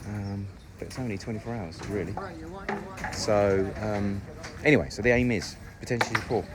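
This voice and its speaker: A man's voice: on the low side, normal speed, crowd noise. A man giving a casual, step-by-step walk-through of his house build outdoors, talking with plenty of ums. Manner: chatty, explains step by step with many ums. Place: outdoor construction site. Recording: loud outdoor site noise.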